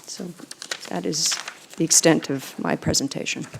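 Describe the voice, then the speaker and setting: Gravelly-voiced Canadian woman presenting. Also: low-pitched, background noise. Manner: measured, many fillers. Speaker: planning consultant presenting. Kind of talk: formal presentation and answers.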